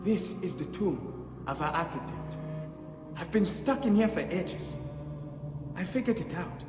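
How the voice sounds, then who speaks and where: High-voiced desperate young man. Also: animated, unhurried. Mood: earnest. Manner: pleading, earnest. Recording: echoey hall, music behind.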